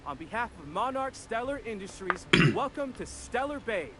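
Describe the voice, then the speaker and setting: A chatty, eager young town clerk. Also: male voice, high voice, sing-song, normal speed. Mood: friendly. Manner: chatty, folksy and eager to please. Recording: game audio with background ambience noise.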